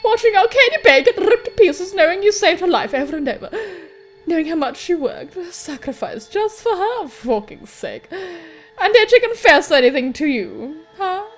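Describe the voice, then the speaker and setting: A woman acts out a scornful teenage girl from a visual novel, high in pitch and swinging widely as she taunts. She is sarcastic, accusing and foul-mouthed, with music playing behind her. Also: very animated, moderate pace. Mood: bitter, mocking. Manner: sneering, sarcastic, swearing. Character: scornful, accusing teenage girl's inner self, taunting cruelly.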